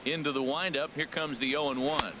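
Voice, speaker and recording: A man playing a play-by-play baseball announcer in a video game, with a measured, polished delivery. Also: a little high, fairly even intonation, natural voice. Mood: steady, attentive. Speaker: play-by-play sports commentator. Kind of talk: scripted baseball commentary. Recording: loud stadium crowd noise over voice. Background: baseball stadium crowd in a video game.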